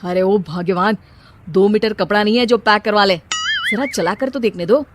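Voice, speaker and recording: Wry young husband for animated family comedy, performed by a woman, teasing, medium pitch. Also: normal speed, music in the background, natural voice. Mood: exasperated. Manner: sarcastic, joking. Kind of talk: animated cartoon story dialogue.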